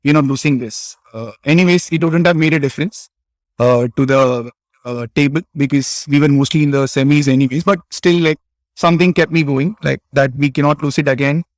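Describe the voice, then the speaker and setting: A man's voice: on the high side, fairly even intonation, brisk, no room sound. Hesitant Indian man with a Tamil accent. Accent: Indian English, Tamil Nadu. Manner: hesitant, many restarts and fillers. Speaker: interview guest, cricket player. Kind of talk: casual interview answers.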